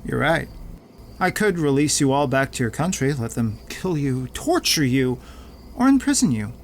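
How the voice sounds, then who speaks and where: An actor playing a smug, menacing crime lord in an audio drama, pompous and taunting with drawn-out mock politeness. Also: male voice, mid-range voice, very animated, slight background noise. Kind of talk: audio drama villain acting.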